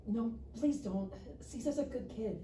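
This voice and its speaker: A woman's voice: a little high, a little slow, warm tone, close to an everyday voice. An actress playing an insistent, protective young woman in a live comedy table read. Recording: echoey room, distant mic, faint noise.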